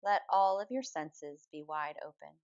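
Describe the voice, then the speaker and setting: A woman leading a guided yoga nidra relaxation as the teacher, speaking in a calm, measured, soothing manner. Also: sits low, dull audio. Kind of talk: guided meditation, slow spoken relaxation instruction.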